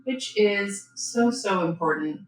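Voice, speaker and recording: Slow-paced woman guiding yoga in an echoey room. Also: mid-range voice, faint music behind. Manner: gentle, unhurried, instructional with pauses. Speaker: yoga teacher. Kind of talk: guided yoga and breathing instruction. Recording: very echoey room, faint background noise.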